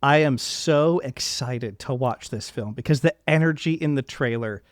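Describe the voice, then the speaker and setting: A man's voice: mid-range voice, moderate pace, dry recording, clean studio sound. A man hosting a podcast interview, speaking casually and with enthusiasm.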